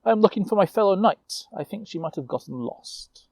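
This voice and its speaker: A man's voice: high voice, expressive, a little fast, a little mellow, pitched above the performer's own voice. A man voicing an earnest young knight-errant in a storytelling, polite, wary and reasoning.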